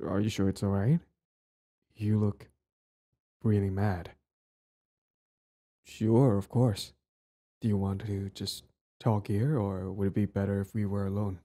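A man's voice: lowish voice, little pitch movement, a little slow, pauses often, mellow. A young man acting a cocky, possessive and smitten bully in an audio roleplay spoken to the listener. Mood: flustered, eager. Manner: stammering, swaggering, profane.

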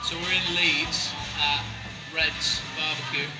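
A man's voice: fairly high pitch, little pitch movement, takes it slowly. A young man, chatting casually with lots of fillers and restarts as co-host of a travel vlog. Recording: mic a bit far off, loud music behind.

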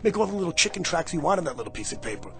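An actor playing a brash, fast-talking man who claims to be going back in time, with a pushy, street-smart manner in a radio drama. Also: a little high, big pitch swings, creaky. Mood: insistent. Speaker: lead character. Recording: radio play with music.

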